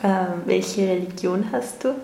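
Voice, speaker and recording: A woman's voice: mid-range voice, a little slow, gravelly, dark tone. A woman, interviewing with short, simple questions in a patient way. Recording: echoey room with faint noise.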